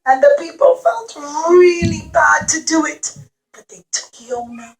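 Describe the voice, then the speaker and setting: A woman telling a Bible story to young children in an expressive, teacherly way, with simple repeated phrases and questions. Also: very high voice, a little slow.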